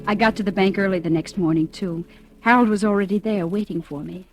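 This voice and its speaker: An actress playing an earnest, trusting young woman in a 1940s radio drama, with a breathless, emotional, halting delivery. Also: medium pitch, over music, close to an everyday voice. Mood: quiet resolve. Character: earnest, trusting young bride-to-be turned disillusioned. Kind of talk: 1940s radio drama acting and first-person narration.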